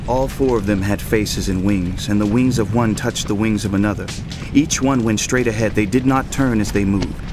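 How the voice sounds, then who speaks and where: A man playing an awestruck prophet in a dramatized scripture reading, recounting visions in a solemn, measured, reverent way. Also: lowish voice, lightly acted. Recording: loud music and effects behind voice.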